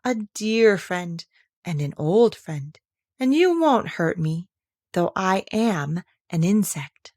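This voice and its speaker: A woman's voice: lowish voice, lively intonation, slow, darkish tone, dry recording, clean studio sound. Woman voicing a tiny, wistful storybook insect. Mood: wistful, pleading. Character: tiny sad insect with an extremely small voice.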